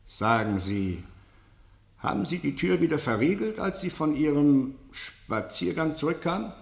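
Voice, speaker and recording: An actor playing a stern, sceptical interrogator in a radio play, formal and insistent, with deliberate, pointed questioning. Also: male voice, fairly high pitch, animated, creaky, echoey room. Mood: sceptical, methodical. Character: stern, probing male interrogator, sceptical and relentless. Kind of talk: German radio play acting, interrogation drama.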